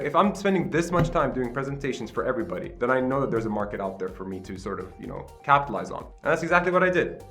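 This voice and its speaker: A man's voice: mid-range voice, fairly bright tone. Chatty man in his late twenties. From Qatar. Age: late 20s. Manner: chatty, upbeat, self-deprecating, many filler "right?"s. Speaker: channel host. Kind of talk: casual vlog talk to camera. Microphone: camera with self-admitted poor sound.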